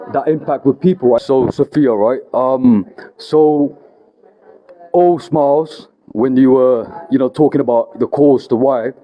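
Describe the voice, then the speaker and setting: A man's voice: medium pitch, lo-fi sound. A man hosting an interview vlog, asking long rambling questions full of "you know" and "right?". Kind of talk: casual interview.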